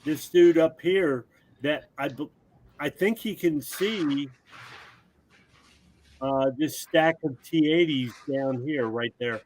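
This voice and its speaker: Slow-paced man explaining game rules. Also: fairly high pitch, a little mellow, slight background noise, poor audio. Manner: explanatory, helpful. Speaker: guest game designer teaching the game. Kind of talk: casual tabletop game play and rules explanation.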